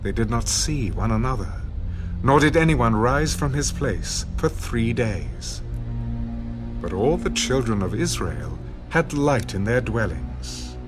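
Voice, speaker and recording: A man narrating a dramatised scripture reading in a measured, solemn storytelling manner. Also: medium pitch, sing-song, music in the background.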